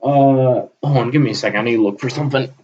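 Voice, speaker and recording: A dull, lo-fi recording of a man talking off the cuff in a fairly low voice. He rambles through an unscripted monologue in a halting way, often pausing and letting sentences trail away. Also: a little mellow, slight background noise. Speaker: vlogger recording an audio log.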